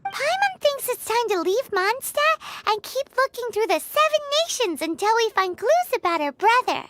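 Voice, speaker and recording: A woman voicing a tiny, childlike, excitable and cheeky female companion creature in a video game, with a squeaky voice. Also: moderate pace, noisy background. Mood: content. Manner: refers to herself in the third person, exclamatory. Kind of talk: video game character acting.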